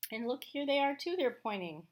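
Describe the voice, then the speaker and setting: A woman's voice: sits high, very animated. A woman elementary school teacher giving a read-aloud lesson for children, explaining things and chatting between passages of a storybook. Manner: explanatory, pauses to comment on pictures.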